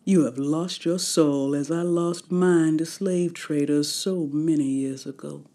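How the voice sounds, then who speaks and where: Actress as a slow, mellow, elderly priestess. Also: female voice, sits low, steady tone. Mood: grave, kindly. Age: 90s. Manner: slow, incantatory, formal. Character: serene ninety-something woman, healer-priestess leading solemn prayer. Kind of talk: audio drama acting.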